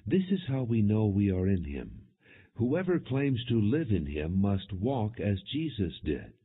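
A man narrating an audio Bible in a measured, solemn reading with deliberate phrasing. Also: fairly low pitch, big pitch swings, breathy edge. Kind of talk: non-dramatized Bible reading. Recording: muffled, phone-like narrow-band audio.

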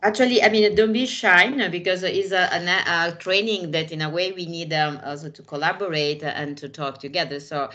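A woman's voice: fairly low pitch, fairly even intonation, normal speed, few pauses, pushed and bright. A woman presenting an online art training course over a video call, speaking hesitantly with many fillers and restarts in English that is not her first language. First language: Italian. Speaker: webinar trainer.

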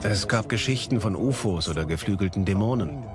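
A man's voice: lowish voice, lively intonation, brisk, over music. A man giving scripted testimony as a voice-over in a documentary.

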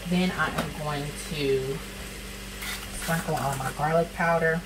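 Low-voiced chatty woman, lilt. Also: fairly even intonation, a little slow. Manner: chatty, folksy, calls viewers honey and sis. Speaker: cooking vlogger. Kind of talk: casual cooking demo. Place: home kitchen, indoors. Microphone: camera nearby, speaker off to the side. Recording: kitchen with frying noise. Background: sizzling pan and stirring.

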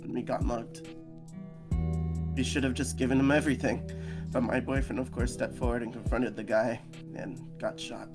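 A man voicing a gentle, understanding raccoon in a visual novel, consoling and plain-spoken, with an old grief. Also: medium pitch, fairly even intonation, normal speed, loud music behind the voice, close to an everyday voice.

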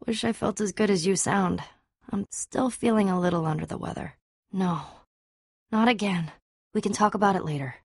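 Husky, tough young adventurer heroine. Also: female voice, medium pitch, moderate pace, long pauses, no echo, clean studio sound. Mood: uneasy, confiding. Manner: blunt, casual, earnest. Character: tough, guarded young female adventurer, loyal and wry. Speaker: game voice actress. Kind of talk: animated adventure game dialogue.

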